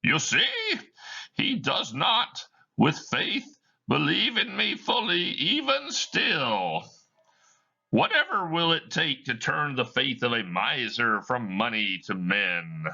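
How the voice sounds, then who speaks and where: A man voicing the ghost of a dead old miser, speaking slowly in a lofty theatrical way. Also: mid-range voice, sing-song, creaky, bright, forceful tone, dull audio, pitched above the performer's own voice, exaggerated voice. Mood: wondering. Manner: slow, drawn-out, lofty theatrical delivery.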